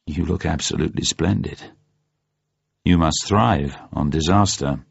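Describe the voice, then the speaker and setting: A narrator as a low, husky, wry wounded man. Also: a little slow, no room sound, muffled recording, exaggerated voice. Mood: wry, welcoming. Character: wry, wounded man, gruff but sentimental.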